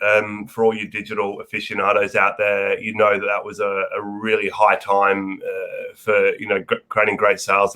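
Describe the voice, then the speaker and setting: A man's voice: on the low side, bright, forceful tone. An Australian man with a head cold, talking casually as a podcast guest and rambling through many fillers and restarts. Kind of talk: casual interview chat.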